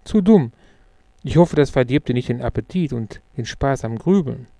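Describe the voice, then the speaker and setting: A man voicing a brisk, jovial salesman and old friend in an audiobook, with a breezy, emphatic delivery. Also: mid-range voice, expressive, vocal fry, warm tone, no room sound. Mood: breezy, confident. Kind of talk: audiobook character dialogue.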